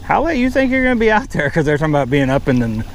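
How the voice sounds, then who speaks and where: A man's voice: fairly high pitch, sing-song, rapid-fire. A middle-aged man hosting a fishing vlog with casual, folksy, rambling running commentary. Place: outdoors on a small fishing boat on open water. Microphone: action camera worn on chest or held at arm's length. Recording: outdoor boat noise, wind.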